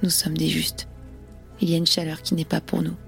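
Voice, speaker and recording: A woman's voice: low voice, monotone, brisk, creaky, soft background music. A woman acting a passionate, melancholy young revolutionary in an audio drama, earnest and lyrical. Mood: wistful, resigned.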